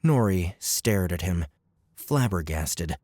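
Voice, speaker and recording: A man's voice: low voice, animated, takes it slowly, dry recording, studio quality. A man narrating an audiobook with dramatic, scripted delivery. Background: loud music.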